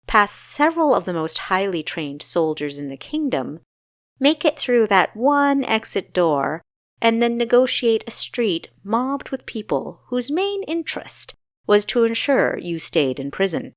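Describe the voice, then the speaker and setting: A woman narrating a fantasy story in an expressive, dramatic storytelling style with deliberate emphasis. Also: medium pitch, no room sound, clean studio sound. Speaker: audiobook narrator.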